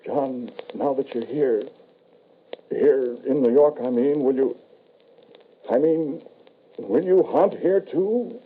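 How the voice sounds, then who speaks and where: A man's voice: mid-range voice, takes it slowly, pauses often, lightly acted. An actor playing an anguished, haunted father with a grave, hesitant, dramatic delivery in old-time radio horror style. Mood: horrified. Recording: noisy, tinny vintage radio broadcast.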